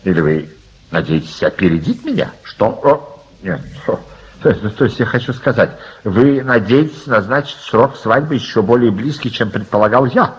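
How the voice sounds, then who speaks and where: An actor playing a touchy older gentleman in a radio drama, formal and fussy, stammering when flustered. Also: mid-range voice, animated, normal speed, slight background noise, natural voice. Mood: stiffly polite. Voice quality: husky. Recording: dull, muffled radio drama recording.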